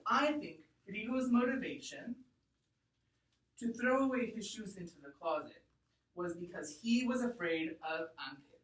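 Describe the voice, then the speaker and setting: A woman teacher reading aloud to a class and explaining deliberately and expressively, thinking out loud. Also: mid-range voice, lively intonation, a little hiss or hum. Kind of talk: read-aloud lesson to a class. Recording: echoey room, distant mic.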